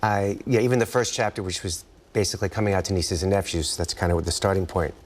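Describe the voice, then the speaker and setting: A man's voice: deep voice, steady tone, quick pace. An American man, an author being interviewed, chatting casually and rambling, often trailing off mid-thought.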